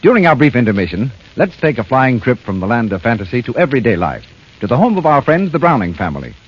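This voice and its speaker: Vintage radio announcer, male, husky with swooping delivery, muffled recording. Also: medium pitch, big pitch swings, fast talker. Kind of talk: scripted 1930s radio announcing.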